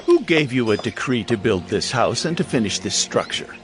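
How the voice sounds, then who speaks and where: A man's voice: medium pitch, big pitch swings, normal speed, close to an everyday voice. A man playing an officious provincial governor. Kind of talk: dramatized scripture reading.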